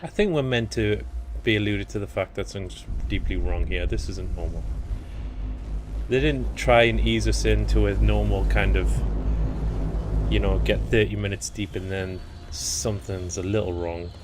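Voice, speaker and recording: A man with a croaky voice casually commentating gameplay, rambling and thinking aloud. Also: fairly low pitch, moderate pace, background noise. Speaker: let's play commentator.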